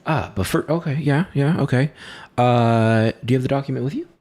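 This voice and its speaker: A man's voice: mid-range voice, a little fast, a little mellow, pitched above the performer's own voice, natural voice. A man voicing a polite, eager male shopkeeper who speaks in a welcoming, slightly hesitant sales patter in a tabletop game. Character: eager, polite human male paper shop keeper.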